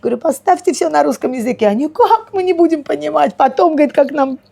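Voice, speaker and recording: A woman co-hosting a casual podcast and teaching, chatty and quick to agree with repeated yeses. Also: high-pitched, expressive, creaky, dark tone. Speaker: podcast co-host and Russian teacher.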